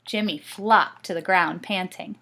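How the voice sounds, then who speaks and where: A woman reading a bedtime story aloud to children with expressive narration. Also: fairly low pitch, measured pace, creaky. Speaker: storybook reader.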